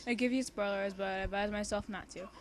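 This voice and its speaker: A young woman with an informal, giggly, excitable manner, reading a story aloud casually and breaking off into bantering asides. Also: medium pitch, fast talker. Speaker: amateur fan fiction reader and co-host.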